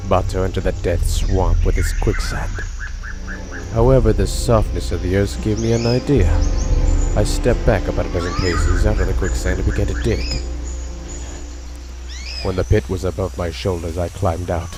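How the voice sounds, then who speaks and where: A man's voice: deep voice, steady tone, normal speed, close to an everyday voice. A man acting as a hunted fugitive, narrating his desperate flight in an intense, suspenseful radio drama style. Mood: grim, tense. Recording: loud music and insects behind voice.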